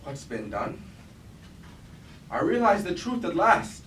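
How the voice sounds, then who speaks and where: A man's voice: high voice, very animated, takes it slowly, a bit bright, heavily acted. A man playing a guilt-ridden robot war leader in a staged tragedy, speaking in solemn, formal, archaic declamation. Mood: anguished. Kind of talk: staged reading of mock Greek tragedy. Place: indoor performance space, recorded from afar. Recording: echoey stage room, distant mic, faint noise.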